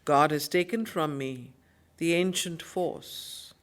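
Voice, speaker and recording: Female study-circle teacher and reader; unhurried, deliberate, emphatic, for solemn verse and commentary. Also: sits low. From India. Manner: measured, deliberate, emphatic phrasing. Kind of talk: solemn poetry recitation and explanatory teaching.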